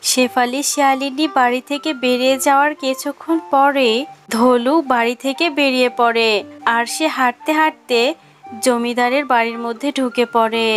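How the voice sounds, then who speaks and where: A woman's voice: sits high, fairly even intonation, moderate pace, faint music behind. A woman narrator reading a scripted children's fairy tale.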